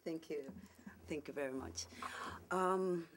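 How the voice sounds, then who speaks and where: A woman's voice: low voice, slow, slight background noise. A woman from Somalia, a television host, giving a gracious, hesitant public speech with filler words. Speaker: guest speaker, television host.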